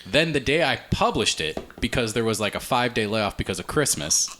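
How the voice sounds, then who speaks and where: A man's voice: mid-range voice, very animated, fairly quick, noisy background. A man hosting a casual panel podcast, chatting about media in a conversational way. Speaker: podcast host.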